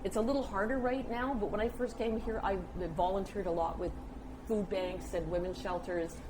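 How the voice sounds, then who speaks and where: An older woman, telling stories conversationally as an interview guest, with an animated manner. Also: medium pitch, normal speed. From United States. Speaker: interview guest, communications specialist. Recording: clear background noise and room ambience.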